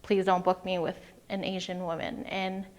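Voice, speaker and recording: A young adult woman from Denver, a salon owner, speaking earnestly and with emotion in a news interview, halting at times. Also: on the low side, level tone, darkish tone. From Denver, USA.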